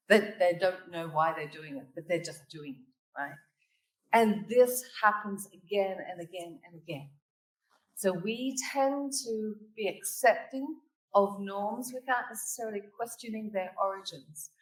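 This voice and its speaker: A woman from Australia with a mixed Australian and British accent, giving a conference talk and telling stories that she tags with "right?" and "okay?". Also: medium pitch. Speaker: conference speaker. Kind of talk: keynote presentation. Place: conference hall. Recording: echoey hall, voice from a distance.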